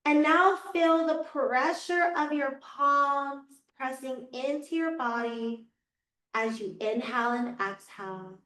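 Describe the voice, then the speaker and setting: A woman yoga teacher guiding a class through slow, soothing cues, her voice a little raspy from losing it. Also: high-pitched, bright, forceful tone. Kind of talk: guided yoga class instruction. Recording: echoey room, voice from far off.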